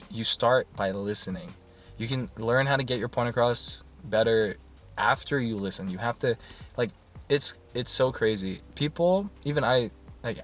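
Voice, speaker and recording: A man talking in a soft, soothing voice in a casual rambling monologue, full of fillers and restarts. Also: mid-range voice. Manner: soft-spoken, meandering, many fillers and restarts. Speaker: YouTuber.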